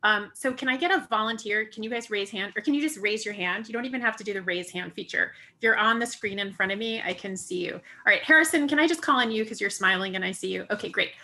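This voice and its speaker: A woman speaking as a professor in an informal, interactive way, brisk and direct. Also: mid-range voice, sharp tone. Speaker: audio journalism professor.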